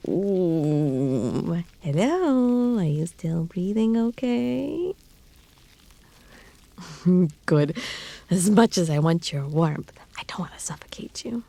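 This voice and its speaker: A woman acting a playful, affectionate snake-woman in an intimate roleplay audio, teasing and cozy, often slipping into breathy whispers. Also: on the low side, animated, slow, mellow, dry recording, heavily acted.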